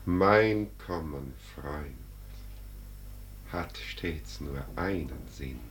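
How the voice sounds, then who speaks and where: An actor playing Death as a solemn, majestic spirit, declaiming verse in a stately, commanding manner in a classic German radio drama. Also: male voice, extremely low pitch, lively intonation, drawn-out words, slight background noise, exaggerated voice. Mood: solemn, mysterious.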